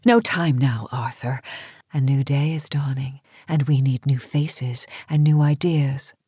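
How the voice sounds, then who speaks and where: A low, breathy voice, close and dry: an imperious cosmetics queen in a campy B-movie radio play. She is grand, secretive and commanding. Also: steady tone, a little slow, warm tone, no room sound, heavily acted. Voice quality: husky, breathy. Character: imperious, secretive female cosmetics company boss. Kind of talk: campy B-movie audio drama acting.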